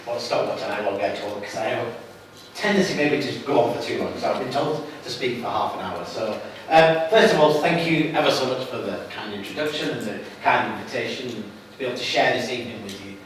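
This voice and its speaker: A man's voice: medium pitch, lively intonation. A middle-aged man with a Lancashire accent speaks earnestly to a gathered audience, often circling back to restart his phrases. He is heard from far off in a very echoey hall, with a faint hiss underneath. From England, Lancashire. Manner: earnest, halting with frequent restarts. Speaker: guest speaker. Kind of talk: public talk to an audience. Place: hall at an evening event.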